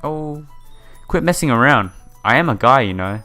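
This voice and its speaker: A man's voice: medium pitch, expressive, moderate pace. A man hosting a casual let's-play, reading game text aloud and adding informal asides. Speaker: gaming video host. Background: soft game music.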